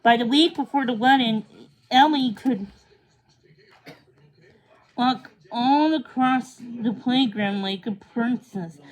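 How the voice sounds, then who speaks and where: A woman with a fairly high-pitched voice reads a children's story aloud. She narrates as in a read-along, now and then tripping on a word and going back over it. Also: slow pace, pauses often, rough recording. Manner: stumbles over words and rereads them. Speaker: storybook reader.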